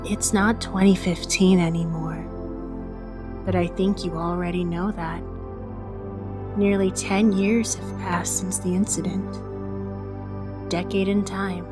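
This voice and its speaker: A woman performs a somber, enigmatic reciter of verse in a radio drama. The voice is dropped low and moves very slowly. Each line is measured and solemn, with faint music underneath. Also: little pitch movement. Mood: ominous. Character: somber, cryptic poetry reciter recalling a bitter past.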